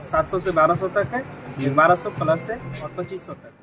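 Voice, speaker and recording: A man's voice: on the high side, normal speed, over music. A man working as a shop assistant who helpfully explains the sizes and price ranges.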